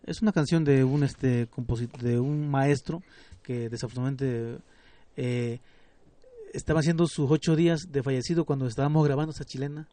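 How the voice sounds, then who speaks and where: A middle-aged man from Guerrero, Mexico, speaking as a guest on a radio show, unhurried and hesitant, with frequent fillers. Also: mid-range voice, mellow. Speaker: artistic director of a family music group.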